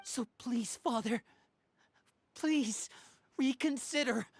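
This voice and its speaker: A woman's voice: on the high side, slow, breathy edge, full character voice. A woman playing a loyal, earnest young boy in an anime game, speaking in a sincere, pleading manner.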